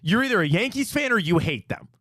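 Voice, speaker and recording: A man with a Midwest Cleveland accent, hosting a casual sports talk show in a studio, animated and opinionated, rambling with restarts. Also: high voice, fast talker, no echo.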